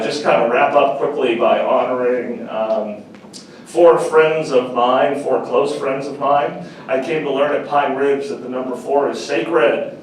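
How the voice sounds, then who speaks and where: A middle-aged man speaks earnestly at a measured pace, repeating key phrases for emphasis. His voice sits at an ordinary pitch and is heard from across a very echoey university meeting room. A faint room hum sits behind him. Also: distant mic. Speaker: panel speaker. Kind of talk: reflective public talk. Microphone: far camera.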